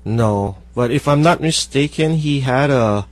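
A man with a Hawaii accent co-hosting a car radio show, giving calm matter-of-fact advice. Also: mid-range voice, measured pace, dry recording. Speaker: radio co-host.

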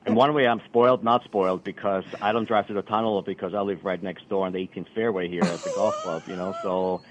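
A middle-aged man from Austria, a country club manager, chatting casually as a radio guest with a relaxed, rambling manner. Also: deep voice, fast talker, slight background noise. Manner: relaxed, rambling, many fillers. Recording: dull lo-fi radio broadcast.

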